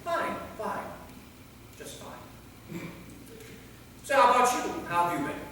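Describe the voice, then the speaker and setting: A man's voice: very high-pitched, sing-song, slow pace, full character voice. Man playing an anxious old vampire, echoey hall. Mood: awkward, subdued. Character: anxious, awkward centuries-old male British vampire novelist. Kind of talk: staged play reading. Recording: echoey hall, distant mic, faint noise.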